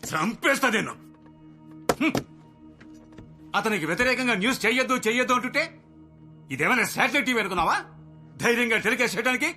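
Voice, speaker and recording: Bullying channel boss, quick and cutting, berating a reporter. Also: male voice, very high voice, lively intonation, pushed and bright, faint music behind, full character voice. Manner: berating, mocking.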